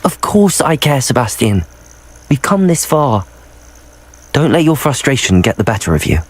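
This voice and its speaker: A young man playing a cautious, level-headed teenage wizard student in a video game, earnest and measured. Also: medium pitch, very animated, slightly rough. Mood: alarmed, firm.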